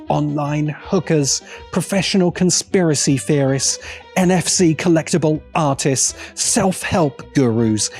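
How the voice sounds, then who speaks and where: A man narrating a scripted video essay as its host, with sardonic, emphatic and deliberate phrasing. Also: fairly high pitch, a little mellow. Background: faint background music.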